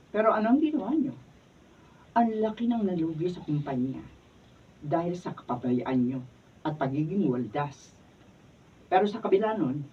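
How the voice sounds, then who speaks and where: An actress playing a family lawyer in a radio drama who scolds greedy heirs. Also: female voice, lowish voice, husky. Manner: scolding, sharp rebukes. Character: blunt family lawyer, scornful woman. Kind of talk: radio drama acting, read from script. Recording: tinny, phone-like, mic from a distance.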